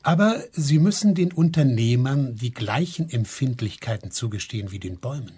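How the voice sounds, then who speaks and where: An insistent industry lobbyist in a radio play. His mid-pitched husky voice moves in lively swings, with formal, insinuating diplomacy. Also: moderate pace. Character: smooth-talking, insistent business lobbyist pressuring government. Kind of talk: German radio drama acting.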